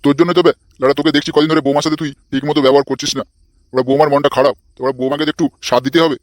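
Man playing a rapid-fire, crude old father-in-law. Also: a little high, level tone, dry recording, close to an everyday voice. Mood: angry, scolding. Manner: foul-mouthed, blustering. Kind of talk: comic cartoon dialogue.

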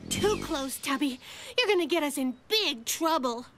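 A woman's voice: sits high, expressive, normal speed, noisy background. A woman playing a sensible, outspoken little girl in a children's cartoon. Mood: wary, scolding. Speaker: cartoon character.

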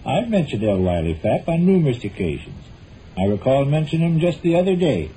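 An actor playing a stubborn, long-suffering husband with dry, pompous formality in a 1940s radio comedy. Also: male voice, a little high, sing-song, a little fast, dark tone. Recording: muffled, noisy old 1940s radio broadcast.

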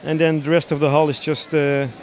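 A man in his thirties from the Netherlands with a Dutch accent, explaining his hobby builds in a casual, halting interview. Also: fairly high pitch, level tone, a little mellow. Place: large busy exhibition hall, indoors. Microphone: handheld interview microphone.

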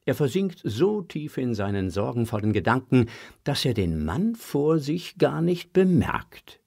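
Slow, gravelly male storyteller. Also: mid-range voice, sing-song, a little mellow, no room sound, clean studio sound. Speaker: narrator. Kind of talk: expressive scripted narration for a children's audio drama.

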